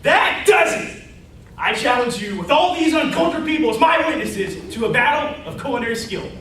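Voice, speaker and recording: Stage comedy chef, played by a man: very high, sharp voice, theatrical and boastful, heard in an echoey hall. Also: expressive, normal speed. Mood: indignant, challenging. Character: bombastic, competitive chef spoiling for a contest. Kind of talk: amateur stage comedy acting. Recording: echoey hall, distant mic, noisy.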